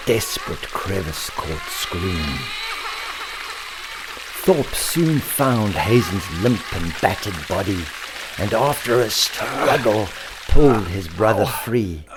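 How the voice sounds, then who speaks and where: A man narrating a children's story in an expressive, theatrical way, lingering on alliterative words. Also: lowish voice, a little hiss or hum. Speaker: author and storyteller.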